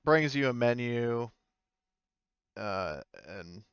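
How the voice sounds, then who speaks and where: Casting: easygoing male game master, middle pitch, clean voice, close dry sound. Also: animated, fairly bright tone, smooth, no echo, lo-fi sound. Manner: halting, informal, easygoing. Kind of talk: casual improvised tabletop game narration.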